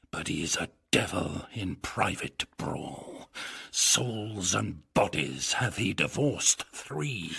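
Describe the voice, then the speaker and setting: An actor playing a boisterous, scheming knight in a Shakespearean radio comedy, pompous and theatrical, savouring grand words with a husky voice. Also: male voice, medium pitch, fairly bright tone, heavily acted. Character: boisterous, scheming knight and uncle, relishing mischief.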